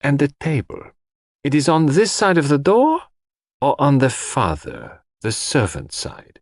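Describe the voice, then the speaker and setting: A man's voice: a little high, measured pace, no room sound, voice pushed up, heavily acted. Audiobook role: businesslike male sleuth acted by a man, slightly raised voice, precise, courteous, composed, dry sound. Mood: calm, probing. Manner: calm, precise, probing. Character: calm, courteous, businesslike male detective questioning witnesses.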